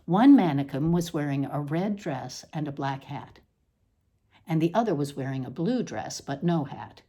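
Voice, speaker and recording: A woman narrates a scripted literary essay in a lowish, warm and mellow voice. Her intonation moves widely and animatedly, while her phrasing stays measured and deliberate, leaning on key words. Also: animated, slightly rough. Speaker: essay reader.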